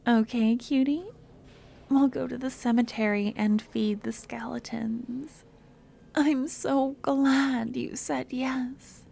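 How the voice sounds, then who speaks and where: A woman playing an obsessive young stalker in an ASMR roleplay, sweet yet menacing, speaking softly and often dropping to a whisper. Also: high voice, fairly even intonation, takes it slowly, creaky, a little hiss or hum, full character voice. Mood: delighted, sweet.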